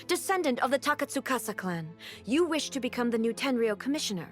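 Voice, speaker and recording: A woman's voice: fairly high pitch, big pitch swings, fast talker, soft background music. A woman voicing a stern, imperious female ruler in a video game, speaking in a cold, clipped, authoritative way. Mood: cold, commanding.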